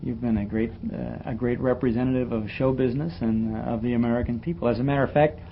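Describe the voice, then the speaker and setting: A man's voice: medium pitch, steady tone, fast talker. A man conducting a casual radio interview, speaking hesitantly and admiringly to his guest. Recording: dull lo-fi interview tape with noise.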